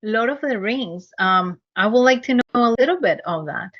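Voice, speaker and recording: A woman hosting a podcast interview, speaking in an earnest, measured way with some hesitant pauses, in slightly dull lo-fi audio. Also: on the high side, lively intonation, no echo. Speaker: podcast host. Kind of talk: interview, reading guest bio.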